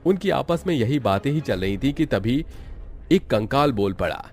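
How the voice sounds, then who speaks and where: A man tells a scripted animated horror tale as its narrator. His voice sits at a middle pitch, with background music underneath. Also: moderate pace. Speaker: story narrator.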